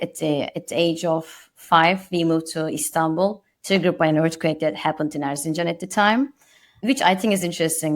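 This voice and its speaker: A woman in her thirties from Turkey with a Turkish accent, a guest on a podcast interview, speaking reflectively and modestly with frequent restarts. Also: low voice.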